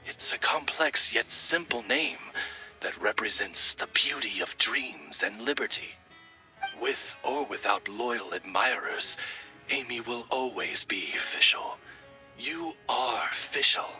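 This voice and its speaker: A courtly raven retainer from an anime game, devoted and wise. His mid-pitched voice is crisp and very bright, with formal, eloquent phrasing over soft music. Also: rough recording. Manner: formal, eloquent, deliberate addresses. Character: courtly male raven companion, devoted, wise and gentle servant. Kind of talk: scripted anime game voice acting. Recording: music behind the voice.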